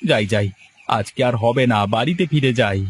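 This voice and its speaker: A man's voice: mid-range voice, moderate pace, slight background noise, natural voice. A man playing a plaintive, luckless fisherman. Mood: grumbling. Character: poor, unlucky, impatient fisherman husband, self-pitying. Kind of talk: Bengali cartoon story voice acting.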